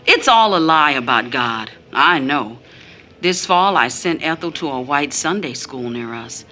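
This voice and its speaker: A woman's voice: deep voice, expressive, measured pace, sharp tone, slight background noise, heavily acted. A woman playing a bitter, disillusioned mother in an audio drama, emphatic and grave. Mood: bitter, defiant. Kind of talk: audio drama stage-style acting.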